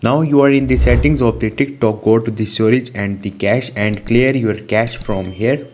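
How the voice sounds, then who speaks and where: A man presents a scripted how-to tutorial at a middle pitch. He gives instructions one step at a time, in non-native English phrasing. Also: moderate pace, darkish tone, a little hiss or hum. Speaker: tutorial host.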